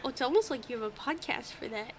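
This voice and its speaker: High-voiced woman, stuffy nose. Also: fast, slight background noise. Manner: chatty, swears freely, laughs often. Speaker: podcast co-host. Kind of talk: casual chat. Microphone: old home microphone.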